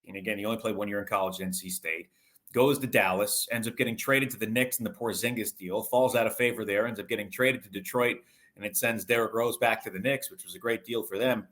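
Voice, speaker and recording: A man's voice: fairly low pitch, a bit bright. A man giving conversational sports analysis as an interview guest, explaining things at length and in detail. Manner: talkative, explanatory, long detailed answers.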